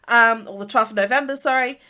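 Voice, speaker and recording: Congested English woman in her twenties, bright, chatty host, rambles with fillers. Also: on the high side, a little fast. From England. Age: 20s. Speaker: YouTuber. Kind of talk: casual chat.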